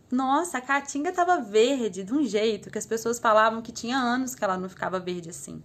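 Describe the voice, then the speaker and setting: Reflective Brazilian woman telling her story. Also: medium pitch. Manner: thoughtful, rambling, with frequent "assim" and "né" fillers. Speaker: live stream speaker.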